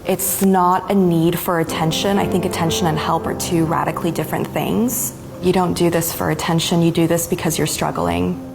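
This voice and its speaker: A woman's voice: fairly low pitch, level tone, normal speed, vocal fry. A woman in her thirties speaking earnestly and directly as an interviewee, giving a candid testimony. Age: 30s. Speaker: interviewee, suicide attempt survivor.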